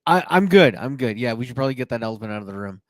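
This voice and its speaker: A man with a mid-range voice co-hosting a podcast, talking at length in a loose storytelling way. He fills pauses freely and circles back as he goes, recorded dry and close. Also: medium pitch, expressive, no echo. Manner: talkative storyteller, many fillers. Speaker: podcast co-host. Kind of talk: casual podcast chat.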